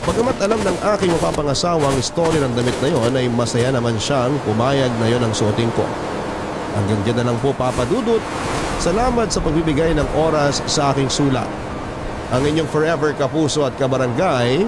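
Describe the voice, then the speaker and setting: A man's voice: medium pitch, brisk, heavy background noise. A man with a Filipino accent narrating a sentimental story as a radio host reading a letter. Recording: music behind the voice.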